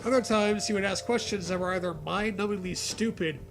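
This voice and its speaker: A man's voice: high-pitched, brisk, fairly bright tone, soft background music. A man co-hosting a comedy read-aloud, moving between narrating a story and casual, amused banter. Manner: amused, breaks into laughter. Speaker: co-host reading a fan story aloud.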